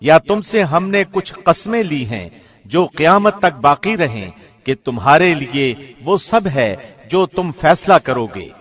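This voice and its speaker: A man reads a translation of scripture in a formal, scripted style. His voice sits somewhat high, and he speaks with solemn, measured, carefully articulated phrasing. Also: big pitch swings, fairly bright tone. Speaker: translation reader, professional radio host.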